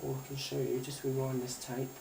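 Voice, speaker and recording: A man's voice: mid-range voice, monotone, fairly quick, creaky. Roomy, distant man, rambling and hesitant. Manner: rambling, hesitant, repeats phrases. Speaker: gadget review YouTuber. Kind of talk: casual unscripted product demonstration. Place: indoors at a tabletop, away from the mic. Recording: roomy, distant mic, faint hiss and clicks.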